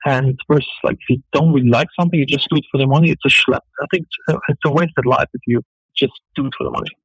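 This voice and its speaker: A man's voice: mid-range voice, breathy edge, no room sound, low-quality recording. A man with a German accent, a business guest on a radio talk show, answering in an earnest, filler-filled way. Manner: earnest, fast, full of fillers. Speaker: entrepreneur guest.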